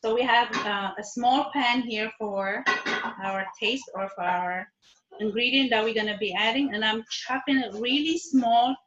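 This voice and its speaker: A woman's voice: on the high side, unhurried, fairly bright tone, roomy sound, lo-fi sound. A woman from Ethiopia and Eritrea teaching a live online cooking class from her kitchen, friendly and patient, often checking in with her students. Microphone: video call.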